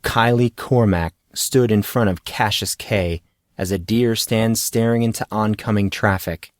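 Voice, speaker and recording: A man's voice: on the low side, steady tone, measured pace, dry recording. Man narrating an audiobook, dry close sound. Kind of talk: scripted fantasy story narration.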